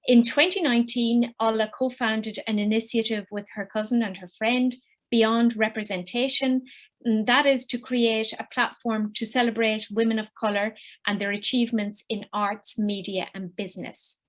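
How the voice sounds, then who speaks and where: A woman's voice: a little high, fairly even intonation, moderate pace. Woman chairing a panel. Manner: gracious, with fillers and Irish phrases. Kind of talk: moderating a panel discussion.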